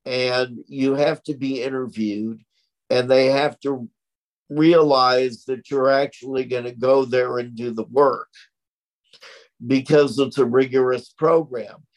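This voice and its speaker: A middle-aged man talks in an interview at a very unhurried pace. His voice is loud and projecting, and he over-articulates each word with care. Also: mid-range voice, fairly even intonation, poor audio. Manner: slow, deliberate, over-articulated speech. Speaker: interview guest, stroke survivor. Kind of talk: conversational interview.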